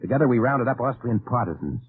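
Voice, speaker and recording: An actor playing an intense, distrustful undercover agent in a dramatic old-time radio play. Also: male voice, medium pitch, big pitch swings, fast, heavy background noise, natural voice. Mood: grave, recounting. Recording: tinny vintage radio recording.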